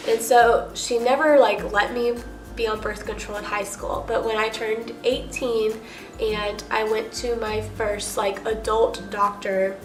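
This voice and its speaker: Casting: young American female vlogger, stuffy nose, emphatic conversational delivery, slightly high register, recorded in a reverberant room. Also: little pitch movement, unhurried. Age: young adult. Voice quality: nasal, congested. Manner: chatty, emphatic, peppered with "like". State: stuffed up with a cold. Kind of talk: casual personal storytime to camera. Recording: roomy echo with music underneath.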